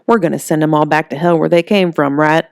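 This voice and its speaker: A woman's voice: low-pitched, fairly even intonation, fast talker, creaky, clear, resonant tone, no room sound, clean studio sound. A woman voicing Holly, a brassy, flirty, tough woman who loves pink, with a sassy drawl. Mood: brisk. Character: brassy flirty middle-aged woman, pink-loving trailer owner, tough.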